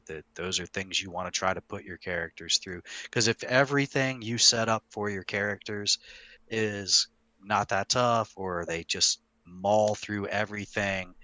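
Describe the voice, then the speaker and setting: A man with a very low voice that still has a forceful, bright edge. He talks like a podcast host in casual discussion, explaining with emphasis and repetition. The sound is dry and free of room echo.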